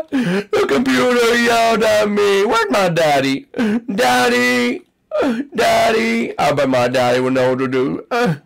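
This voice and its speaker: A man's voice: mid-range voice, pitched below the performer's own voice. A man voicing a babyish, whiny young boy character in broken baby talk while playing a game. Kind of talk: gameplay commentary in character.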